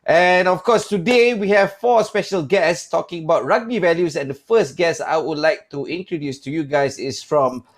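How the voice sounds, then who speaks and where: A man's voice: on the high side, moderate pace, hardly any pauses. Chatty male host of an online panel. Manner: chatty, joking, says mate a lot. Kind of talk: online panel show hosting.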